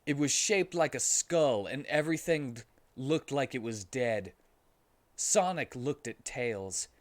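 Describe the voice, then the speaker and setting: A man with a medium-pitched, very animated voice gives a comic dramatic reading of an amateur story. His delivery is mock-serious, with wide swings in intonation. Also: slow pace, dry recording. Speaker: streamer reading a story aloud.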